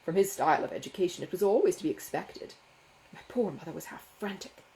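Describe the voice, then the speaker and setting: Female reader as smug young dandy, affected, gushing, condescending, very animated pitch swings, mellow tone, storybook dialogue. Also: quick pace, poor audio. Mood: affronted. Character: foppish, self-satisfied young gentleman, glibly pitying his brother. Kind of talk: dramatised audiobook dialogue from a classic novel.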